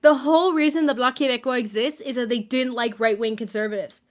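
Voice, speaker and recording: A young woman talks with a slightly raised pitch and a light Quebec tinge in her English. She chats casually on a podcast, wandering through long explanations packed with "like" and side remarks. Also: brisk. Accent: Quebec French-tinged English. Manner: rambling, filler-heavy, explains with many asides. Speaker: podcast co-host. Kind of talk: casual chat.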